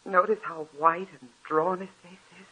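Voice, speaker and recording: An actress playing a worried wife in 1940s radio acting style, pleading and fretful. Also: female voice, lowish voice, fairly even intonation, normal speed, pushed and bright, husky, full character voice, poor audio. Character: anxious, worried young wife.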